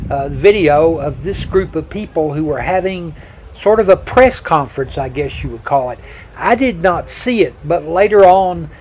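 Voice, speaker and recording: Higher-voiced man narrating a travel voice-over. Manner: relaxed, reflective, conversational. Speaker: travel vlogger.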